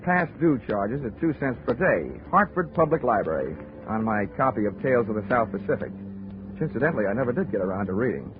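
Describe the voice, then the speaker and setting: Wisecracking investigator played by a man, dry asides, lively voice, phone-thin old radio recording. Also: mid-range voice, brisk, noisy background, natural voice. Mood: wry. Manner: wry, dry asides, hesitant fillers. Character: wisecracking male insurance investigator, adult. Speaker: narrator of own case. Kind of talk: 1940s radio drama narration. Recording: tinny 1940s radio broadcast, faint music.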